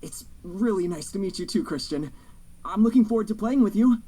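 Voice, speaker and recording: An actor playing a polite, enthusiastic young backup quarterback in an audio drama. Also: male voice, high-pitched, animated, fairly quick, warm tone, breathy edge. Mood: eager. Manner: polite, eager.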